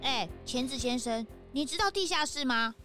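A woman voicing an eager young detective sidekick in a children's audio drama, excited and full of questions. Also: high-pitched, moderate pace, over loud music.

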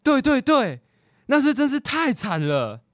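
A man's voice: very high-pitched, wildly swooping pitch, measured pace, noisy background, full character voice. A teasing teenage brother who ribs his sister about old mishaps. His voice swings up and down a lot in a children's radio drama. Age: teen.

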